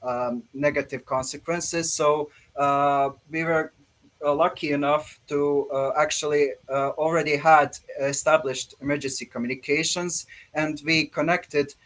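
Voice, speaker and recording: Higher-voiced Bosnian man, hesitant. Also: fairly even intonation, a little slow, a bit bright, poor audio. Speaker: guest speaker at an online ham radio expo. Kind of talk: informal question-and-answer after a presentation.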